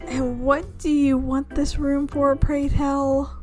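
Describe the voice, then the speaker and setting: A woman's voice: high-pitched, level tone, slow pace, a little mellow, soft background music, voice pushed up. A woman voicing a sardonic female art student from a visual novel in a cold, dry, unimpressed manner.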